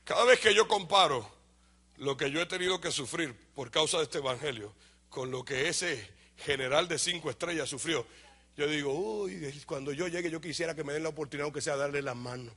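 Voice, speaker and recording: High, bright-voiced Dominican preacher. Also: male voice, fairly quick. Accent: Dominican Spanish. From Dominican Republic. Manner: animated, shouts for emphasis, then drops to a low aside; asks questions and answers them himself. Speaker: pastor. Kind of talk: lively sermon to a congregation.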